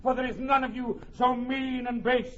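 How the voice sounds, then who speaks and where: A man's voice: very high voice, flat delivery. An actor playing a heroic, commanding young English warrior king, declaiming in a rousing Shakespearean radio drama style. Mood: rousing, exhorting. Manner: declamatory, rousing, drawn-out emphatic phrases. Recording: muffled old radio recording.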